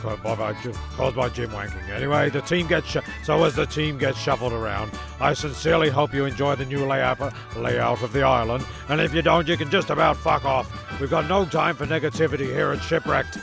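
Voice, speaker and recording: Man playing a gravelly, grumpy comic narrator. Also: mid-range voice, a little fast, hardly any pauses, over music. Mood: sardonic recap. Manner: stumbling, cynical, sweary. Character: grumpy, foul-mouthed, rambling male narrator of a comic island serial.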